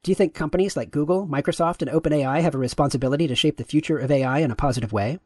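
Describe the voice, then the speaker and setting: A man reading the lines of a courteous, gender-neutral AI chatbot, with his voice pitch-shifted upward. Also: racing, darkish tone, no room sound, studio quality. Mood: polite, agreeable. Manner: courteous, affirming, asks follow-up questions. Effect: pitch raised.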